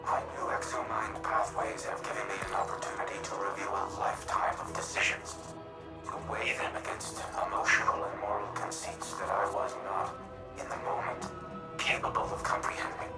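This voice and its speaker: Game AI role, male actor: ancient war intelligence, low-voiced, grave and formal, weighted with remorse, echoing over loud music. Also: lightly acted. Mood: grave, remorseful. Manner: measured, formal, solemn. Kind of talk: scripted video game dialogue. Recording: game music behind, roomy echo.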